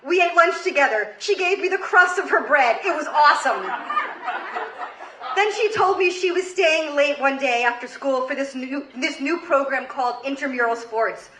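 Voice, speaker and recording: A woman from Queens, New York, reading a scripted comedic story live on stage, with theatrical, deliberate delivery and pauses for punchlines. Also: sits high. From Queens, New York, United States. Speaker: comedy show host and storyteller. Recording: roomy live stage recording, faint noise. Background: audience ambience and faint noise.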